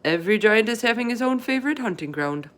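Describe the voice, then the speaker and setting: Storybook friendly giant, played by a woman: earnest, excitable, emphatic, tumbling through garbled folksy speech. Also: fairly high pitch, quick pace, pitched above the performer's own voice, natural voice. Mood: earnest, muddled. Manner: emphatic, garbled folksy grammar with made-up words.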